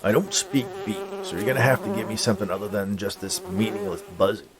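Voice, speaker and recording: A man's voice: fairly low pitch, fast talker, crowd noise, close to an everyday voice. Man as a grumpy, sarcastic, jaded grown man. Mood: exasperated. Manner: dry, sarcastic, impatient.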